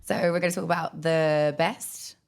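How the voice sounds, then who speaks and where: A young Australian woman co-hosting a casual podcast, with a croaky voice and lively storytelling. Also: deep voice, moderate pace, over music. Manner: chatty storytelling, animated quoting. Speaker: podcast co-host. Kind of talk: casual chat.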